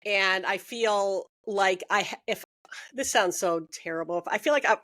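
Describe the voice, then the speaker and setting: A woman's voice: medium pitch, moderate pace, a bit bright, dry recording. Woman host, chatty, rambling, laughs a lot, dry close mic. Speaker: interview host. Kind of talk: casual interview conversation.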